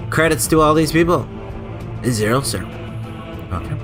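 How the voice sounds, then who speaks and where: A man's voice: fairly high pitch, lively intonation, normal speed, music in the background. A man giving casual, chatty let's play commentary and reading game text aloud, stumbling now and then. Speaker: gaming channel host.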